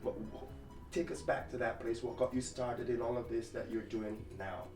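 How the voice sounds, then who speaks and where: A man's voice: medium pitch, fairly even intonation, moderate pace, a little mellow. A man hosting a casual chat, asking long, rambling questions. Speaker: host. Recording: roomy, distant mic, faint music.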